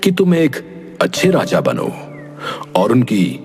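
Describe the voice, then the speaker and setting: Casting: male actor as fatherly older king for a cartoon fable, grave, caring, measured, music underneath. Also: medium pitch, huge pitch swings, heavily acted. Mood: grave, instructive. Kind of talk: Hindi animated moral story voice acting.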